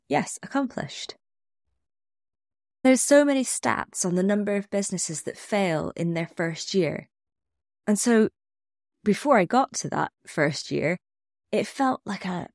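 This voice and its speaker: Woman host, slightly low voice, a bit snuffly from a cold, candid reflective delivery, dry close mic. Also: no room sound. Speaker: podcast host. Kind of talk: scripted solo podcast monologue.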